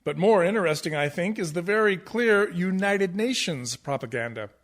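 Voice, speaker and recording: Pompous high-voiced professor. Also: male voice, expressive, moderate pace. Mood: smug, lecturing. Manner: pompous, pedantic, condescending. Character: pompous, condescending male musical-theatre professor, absurdly over-analytical. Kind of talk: comic radio play acting as interview guest.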